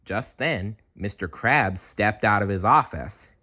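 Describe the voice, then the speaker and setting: A man reading a children's storybook aloud in an expressive, playful way, with chatty asides. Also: lowish voice, husky. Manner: animated, unhurried, playful.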